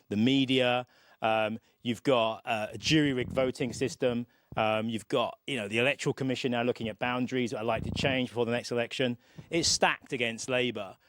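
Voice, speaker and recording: A middle-aged man, a guest politician, making his points in a measured, emphatic way. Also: mid-range voice, no echo. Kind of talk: conversational political discussion.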